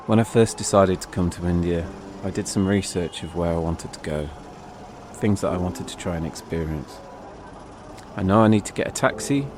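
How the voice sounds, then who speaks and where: A man narrating a dramatic first-person travel story in measured, suspenseful short phrases, as a storytelling podcast host. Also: low voice.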